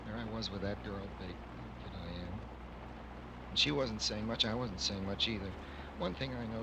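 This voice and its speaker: A man's voice: fairly low pitch, lightly acted. An actor playing a smitten, naive young American racetrack hand, confiding his story in folksy, rambling speech in old radio drama style. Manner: colloquial, folksy, rambling first-person confiding. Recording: noisy old radio recording, vehicle noise behind.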